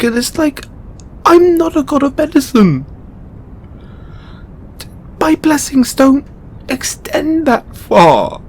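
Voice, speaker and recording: Casting: male actor for a benevolent, overburdened god in audio roleplay; slow, halting, emotional delivery, some rumble in the recording. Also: darkish tone, exaggerated voice. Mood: frustrated, pleading. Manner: halting, emotional, earnest pleading. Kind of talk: intimate dramatic audio roleplay monologue.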